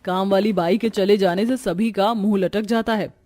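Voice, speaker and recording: A woman's voice: mid-range voice, fairly even intonation, normal speed, a little mellow. A woman delivering scripted storytelling narration for a cartoon tale.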